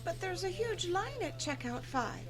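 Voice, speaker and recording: Very high-voiced, plaintive shopper. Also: female voice, sing-song, moderate pace, a crowd in the background. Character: meek, high-voiced female store customer, put out. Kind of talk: horror audio drama dialogue.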